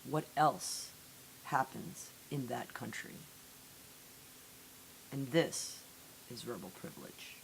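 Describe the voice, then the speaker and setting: A woman reading poetry aloud in a solemn, deliberate way with measured phrasing and dramatic pauses, on an amateur recording. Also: very deep voice, expressive, gravelly, low-quality recording. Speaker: poetry reader. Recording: amateur recording with audible hiss.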